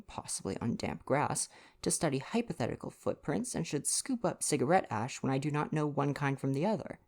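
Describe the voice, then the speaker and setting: Guy doing a fussy vain belgian detective, proud n theatrical, bit of gravel, storybook reading. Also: medium pitch, darkish tone, voice pushed up. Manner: pompous, emphatic, formal phrasing.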